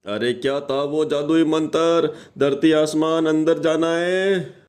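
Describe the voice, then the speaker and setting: A greedy blustering moneylender. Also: male voice, on the high side, fairly even intonation, takes it slowly, darkish tone. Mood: frantic. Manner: blustering, scheming. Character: greedy middle-aged male moneylender. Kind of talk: cartoon voice acting.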